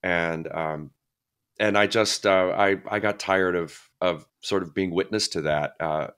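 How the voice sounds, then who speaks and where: A middle-aged man with a notably low voice answers interview questions in a casual, reflective way. He talks thoughtfully, with frequent fillers and restarts as he searches for the right words. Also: fairly even intonation, unhurried, fairly bright tone, clean tone. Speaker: guest actor.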